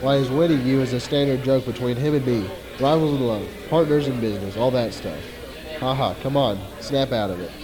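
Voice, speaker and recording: A man playing a skeptical, exasperated friend in an old-time radio drama, briskly dismissive and reassuring. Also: mid-range voice, crowd noise, close to an everyday voice, poor audio. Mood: dismissive, bemused. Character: skeptical, exasperated male friend of a frantic woman.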